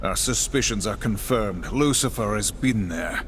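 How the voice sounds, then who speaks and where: Game hero: solemn horseman, lowish voice, clipped lines, commanding and humourless. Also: lively intonation, a little slow, fairly bright tone, slight background noise. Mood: stern. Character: stern, terse male horseman warrior, solemn and impatient. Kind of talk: dramatic video game cutscene acting.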